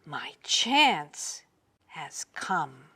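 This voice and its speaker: Woman doing dopey boastful young servant guy from a kids book, scheming, slow, bouncy pitch. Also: sing-song, bright, forceful tone, breathy edge, full character voice. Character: foolish, boastful, eager young man servant.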